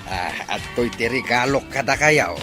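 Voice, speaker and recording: A man's voice: mid-range voice, lively intonation, measured pace, close to an everyday voice. A man playing a kindly, pious, humble older father in an audio drama, with an Ilocano accent. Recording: music under the dialogue.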